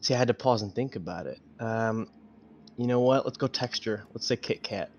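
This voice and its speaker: A man's voice: medium pitch, normal speed, a little mellow, lo-fi sound, slight background noise. A man chatting casually as a player introducing himself on a tabletop game show. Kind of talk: casual chat.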